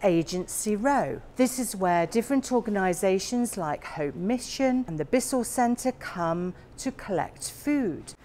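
A woman guiding a warehouse tour, explaining each area in a slow, plain way. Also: medium pitch, lively intonation, noisy background. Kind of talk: guided tour narration.

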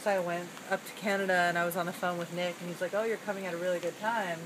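A woman's voice: fairly low pitch, flat delivery, rapid-fire. A woman chatting casually in a group, recorded outdoors on a camera with ambience around her. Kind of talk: casual chat. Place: outdoor yard at night. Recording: noisy outdoor phone video.

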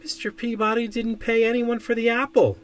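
A man's voice: extremely high pitch, level tone, moderate pace, slight background noise, pitched above the performer's own voice. A man voicing a curious young boy in a storybook, speaking earnestly and with emphasis. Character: curious, excitable young boy, later apologetic.

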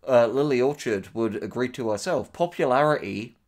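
A man's voice: mid-range voice, moderate pace. A man with a mid-range voice co-hosts a casual commentary. He sounds dry and sardonic, moving between reading aloud and making wry remarks. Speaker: co-host and writer.

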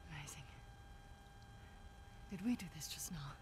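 A woman's voice: low voice, animated, measured pace, background noise. A woman playing a tough, straightforward adventurer in a video game, speaking in a terse, matter-of-fact way. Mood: easing, sincere. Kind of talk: video game cinematic acting.

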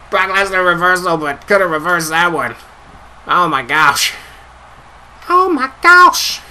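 A young man giving loud, excitable live commentary over a wrestling video game, his voice getting hoarse. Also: on the high side, a little hiss or hum. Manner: hyped, shouting play-by-play calls. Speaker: gameplay commentator.

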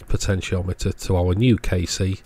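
A man's voice: low voice, little pitch movement, normal speed, darkish tone, husky. A man giving an informal technical walkthrough as host of an electronics repair video.

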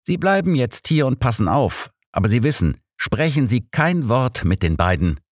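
A man's voice: mid-range voice, big pitch swings, no room sound, studio quality, pitched above the performer's own voice. Man voicing a confident old master detective. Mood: assured. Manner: brisk, assured, persuasive. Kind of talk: audiobook character dialogue.

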